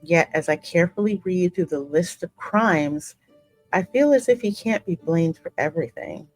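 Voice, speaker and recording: A woman hosting a game playthrough, reading the story aloud as the player character in an expressive, measured, dramatic way. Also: fairly low pitch, dry recording, faint music behind, rough recording. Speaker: gaming host voicing the player character. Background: musical game soundtrack.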